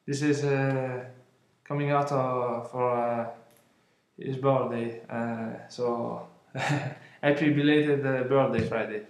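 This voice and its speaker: Young italian man, unhurried halting english, echoey home room, webcam mic. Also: mid-range voice, fairly even intonation. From Italy. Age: 20s. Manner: halting, many fillers and restarts, apologetic. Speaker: vlogger. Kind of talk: casual unboxing vlog. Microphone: webcam at arm's length.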